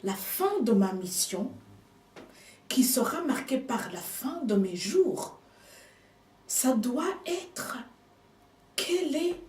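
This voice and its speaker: A woman whose first language is not French, preaching a sermon with slow, emphatic delivery and hushed asides. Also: high voice, sing-song, distant mic. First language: another language than French. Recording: echoey room, speaker off mic.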